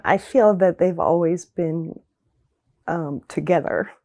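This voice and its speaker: A woman's voice: on the low side, slow pace, warm tone, no room sound. A woman who dances, speaking earnestly and reflectively in an interview, often with ums and likes. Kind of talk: documentary interview.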